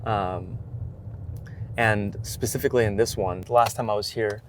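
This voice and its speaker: A man chatting casually and informally as a documentary photographer on the road, in a loose, halting way. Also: fairly low pitch, steady tone, a little hiss or hum. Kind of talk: casual vlog chat while out shooting.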